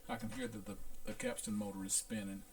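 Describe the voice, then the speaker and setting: A man talking through a hands-on equipment check as a repair technician, in a casual, unhurried, halting way, thinking aloud. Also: on the low side, level tone, slight background noise. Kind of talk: casual hands-on demonstration narration.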